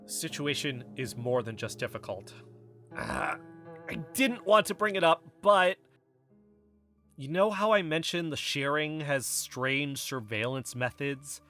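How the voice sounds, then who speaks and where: A man's voice: a little high, big pitch swings, measured pace, sharp tone, soft background music, full character voice. A man playing a paranoid, agitated investigative podcaster in an audio drama, speaking with urgent insistence. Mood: intense, paranoid. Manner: urgent, insistent, dwelling on suspicions. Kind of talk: audio fiction podcast dialogue.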